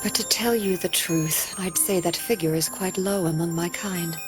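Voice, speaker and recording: An actress in an anime dub playing a calm, coolly detached female parasite in human form, speaking matter-of-factly over music. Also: lowish voice, normal speed, natural voice. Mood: cool, confessional.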